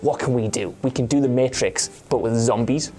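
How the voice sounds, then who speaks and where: A man's voice: medium pitch, normal speed, dark tone, faint music behind. A man presenting in a casual film chat, enthusiastic and self-mocking, rambling as he gives his opinions. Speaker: video game show presenter.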